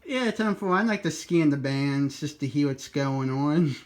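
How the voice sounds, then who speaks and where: A man's voice: on the high side, a little slow. A man talking informally in a casual two-way radio chat, chatty and sprinkled with operator jargon. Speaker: amateur radio operator making a video.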